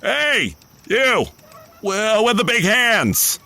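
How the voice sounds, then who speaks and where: An actor playing a frantic, apologetic piano mover in an audio drama, blurting urgently. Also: male voice, high-pitched, very animated, drawn-out words, creaky, sharp tone, slight background noise, heavily acted.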